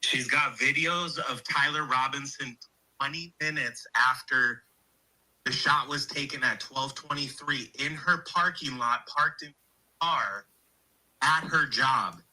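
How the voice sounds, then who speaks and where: A man talking casually and excitedly, explaining things insistently and repeating himself to get his point across. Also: mid-range voice, measured pace, low-quality recording.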